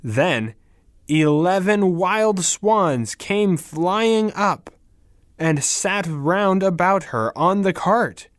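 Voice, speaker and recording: A man with a slightly high voice reads a fairy tale extremely slowly. Each phrase is carefully enunciated and set apart by pauses, as for language learners. The sound is dry and close. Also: no room sound. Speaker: story narrator.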